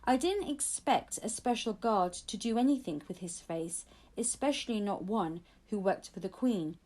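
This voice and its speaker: A woman's voice: mid-range voice, lively intonation, moderate pace, darkish tone. A woman reading a children's audiobook aloud, telling the story expressively in the first person. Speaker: audiobook reader.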